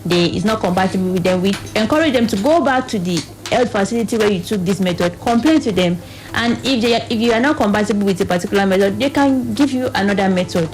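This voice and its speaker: Nigerian lady on a radio interview, mid voice, explains haltingly, bit of music under. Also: medium pitch, animated, a little mellow, low-quality recording. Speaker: reproductive health advocate, studio guest.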